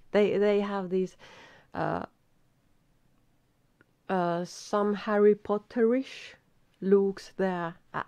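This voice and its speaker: A middle-aged woman with a Finnish accent, very tired, reading a text aloud in halting English with stumbles and restarts. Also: on the low side, a little mellow, dry recording. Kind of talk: casual self-recorded reading practice. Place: small room at a desk.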